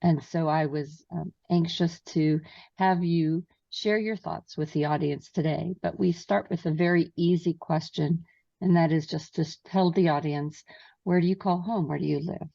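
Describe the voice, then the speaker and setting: A woman's voice: deep voice, steady tone, normal speed, gravelly, warm tone, dry recording. A woman hosting an interview podcast, reading her guest's bio with small stumbles and then asking questions. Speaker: podcast host. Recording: distant, dull, dry mic sound.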